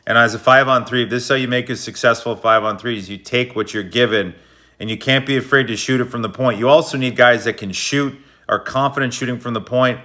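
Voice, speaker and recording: A man's voice: medium pitch, steady tone, brisk, sharp tone. A man giving a casual, slangy breakdown of hockey game footage, explaining plays like a coach. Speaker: hockey video analyst.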